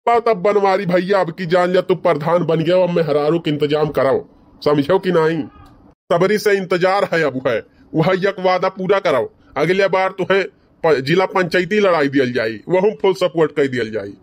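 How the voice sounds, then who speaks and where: A man plays a boastful village fellow from a comic cartoon. His voice sits high for a man, and he argues in colloquial, rustic banter with an Awadhi accent from eastern Uttar Pradesh. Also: normal speed, close to an everyday voice. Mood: scheming. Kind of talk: comedy cartoon dialogue.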